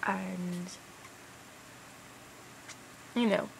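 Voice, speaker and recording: A young Canadian-raised woman talks in a put-on British accent with a heavily creaky voice. She rambles in a tired, hesitant way, full of crude slang. There is clear noise behind her. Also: fairly low pitch, fairly even intonation, very slow. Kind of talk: casual rambling vlog.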